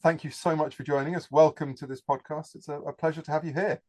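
A man's voice: a little high, steady tone, fast talker, warm tone. A man co-hosting a podcast, introducing guests in a jokey, enthusiastic way. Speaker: podcast co-host. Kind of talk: casual podcast chat.

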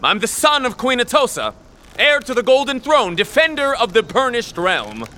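A man's voice: extremely high pitch, very animated, normal speed, pushed and bright, slight background noise, heavily acted. A man playing a proud, suspicious young prince in a fiction podcast, pompous, curt and commanding, speaking formally. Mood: boastful.

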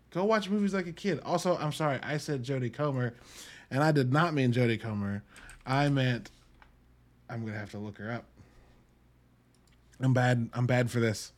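A man hosting a casual solo movie review podcast, talking in an informal, slangy, emphatic way with some swearing. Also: on the high side. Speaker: podcast host.

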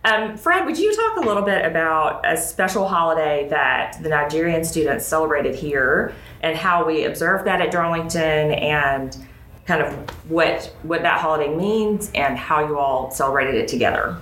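A woman's voice: low voice, expressive, moderate pace, fairly bright tone. An American woman hosting a conversational interview podcast as a school dean, recorded in an echoey room. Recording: roomy echo, clear background noise.

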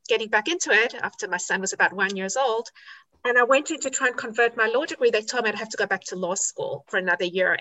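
Bright-voiced South African woman. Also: fairly high pitch, rough recording. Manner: chatty and candid, with frequent "um" pauses and self-corrections. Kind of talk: podcast interview.

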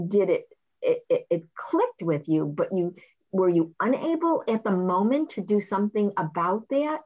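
A woman's voice: mid-range voice, muffled recording. A woman interviewer who probes her guest, rephrasing her questions as she goes. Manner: probing, rephrasing questions with restarts.

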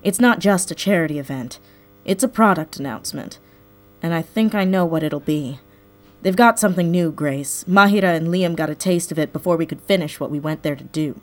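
Wry, low-pitched woman tech specialist, acted. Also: fairly quick, soft background music, lightly acted. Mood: wry, explaining. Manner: wry, matter-of-fact. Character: wry, sharp female tech specialist on a covert team. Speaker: voice actor in a fiction podcast. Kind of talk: scripted audio drama acting.

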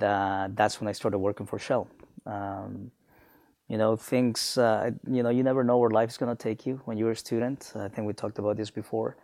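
Reflective man from Honduras, gravelly. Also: lowish voice, darkish tone, no echo, studio quality. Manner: reflective, many fillers and restarts. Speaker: guest, business alumnus. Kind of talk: conversational interview.

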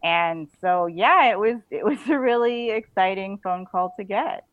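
A woman's voice: mid-range voice, measured pace, no room sound. A woman chatting casually and enthusiastically as an interview guest, in an informal way. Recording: narrow phone-like call audio.

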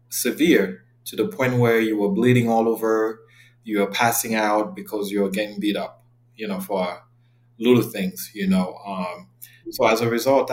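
A man's voice: on the low side, poor audio. A man in his thirties from Cameroon, a podcast guest talking earnestly and reflectively in a casual interview. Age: 30s.

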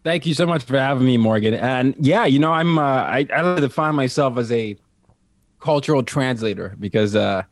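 A man from Nigeria, raised across several countries, speaking as an interview guest in casual, personal storytelling with an animated manner. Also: medium pitch, brisk, dry recording. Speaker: interview guest, cultural translator and consultant.

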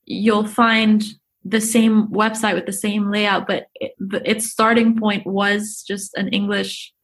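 A woman's voice: medium pitch, level tone, takes it slowly, gravelly, a bit bright. A young woman from Egypt, a guest chatting casually in an interview, talking with many fillers and restarts. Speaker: guest, YouTuber.

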